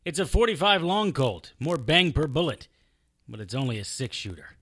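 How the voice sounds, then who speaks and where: A man playing a terse, deadpan private investigator in a radio drama, both narrating and in dialogue. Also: a little high, moderate pace, no echo, studio quality. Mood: wry, tense. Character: dry, world-weary male private investigator hero. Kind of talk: radio drama acting and hardboiled first-person narration.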